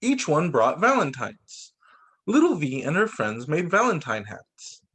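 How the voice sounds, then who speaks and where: A man's voice: on the high side, measured pace, husky. A male teacher reading picture books aloud to young children over a video call, stopping now and then to explain things to them. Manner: animated, stops to explain to kids. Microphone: laptop mic on video call.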